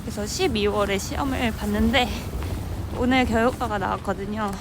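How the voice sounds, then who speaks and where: A woman's voice: medium pitch, very fast, background noise. A woman vlogging in a chatty, cheerful way, with music under her voice. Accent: Korean. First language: Korean. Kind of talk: casual vlog talk to camera.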